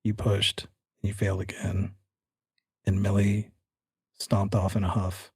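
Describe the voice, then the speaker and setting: A man's voice: low-pitched, completely flat delivery, measured pace, a little mellow, husky, dry recording. A man hosting and narrating a horror tabletop game as game master, with a suspenseful storytelling delivery. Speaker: game master and show host.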